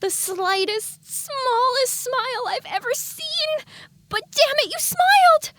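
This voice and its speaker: A woman voicing the innocent, cheeky inner thoughts of a girlish young pony in an audio drama, playful and expressive. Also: extremely high pitch, slow, heavy background noise, voice pushed up. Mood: delighted, teasing.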